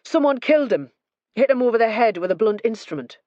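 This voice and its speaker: A woman doing a blunt, gruff police inspector. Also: a little high, very animated, normal speed, no echo, highs cut off, pitched above the performer's own voice. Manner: blunt, forthright.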